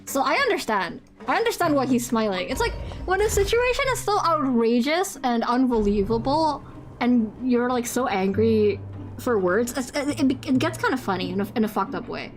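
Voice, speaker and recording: A young adult non-binary streamer with a higher-pitched voice chats casually during a live reaction stream. They stammer through restarts, laugh often and swear freely. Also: animated, moderate pace.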